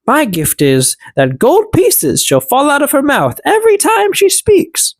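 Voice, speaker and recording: A reader putting on a tiny, high-voiced elf man. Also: huge pitch swings, unhurried, no room sound, full character voice. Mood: pleased, generous. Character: three tiny magical elf men, judging and granting gifts.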